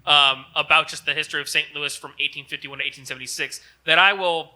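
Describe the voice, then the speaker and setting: Stammering man, high and very bright. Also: fairly even intonation, fast, rough recording. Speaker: co-host of a local comedy show. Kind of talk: casual unscripted banter.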